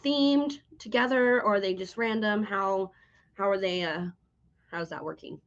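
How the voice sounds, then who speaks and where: A woman's voice: mid-range voice, unhurried. A woman hosting a casual interview in an informal, encouraging way. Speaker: interviewer, host. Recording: slightly dull, lo-fi sound.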